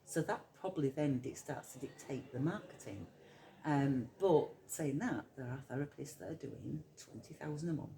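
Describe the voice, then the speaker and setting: A woman's voice: a bass voice, expressive, normal speed, vocal fry, poor audio. Chatty middle-aged Yorkshire woman. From Yorkshire, England. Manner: chatty, reflective, many ums and soft laughs. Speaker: hypnotherapist guest speaker. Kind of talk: casual interview chat.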